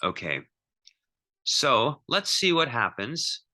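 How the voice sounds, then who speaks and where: A man giving an informal, step-by-step math lecture as a teacher, thinking aloud in a halting way and repeating himself. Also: mid-range voice, big pitch swings, pushed and bright.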